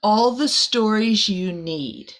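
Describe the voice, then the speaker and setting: A woman's voice: medium pitch, takes it slowly, close to an everyday voice. A woman voicing a helpful castle maid from a storybook, coaxing and instructive in manner. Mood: encouraging.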